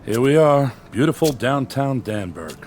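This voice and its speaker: An actor playing a bitter, despairing newspaper editor in a radio drama, terse, weary and deadpan. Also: male voice, medium pitch, moderate pace, background noise, lightly acted. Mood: wry.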